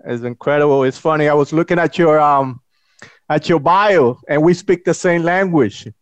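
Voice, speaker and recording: A man's voice: fairly high pitch, moderate pace, dry recording, muffled recording. A man co-hosting a talk program and bantering playfully with the guest. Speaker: co-host. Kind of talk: casual chat.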